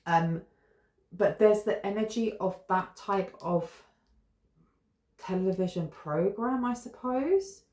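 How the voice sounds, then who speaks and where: British woman, tarot reader, casual and meandering, middle pitch, recorded in a roomy, echoey space. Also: takes it slowly, long pauses, mellow. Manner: musing, meandering, thinking aloud with fillers. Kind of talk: casual tarot reading to camera. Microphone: camera close in front. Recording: echoey room.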